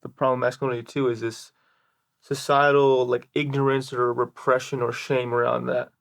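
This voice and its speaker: Fast-talking American man, flat-toned podcast host. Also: medium pitch, vocal fry. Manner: informal, peppers speech with "like". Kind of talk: casual conversational interview.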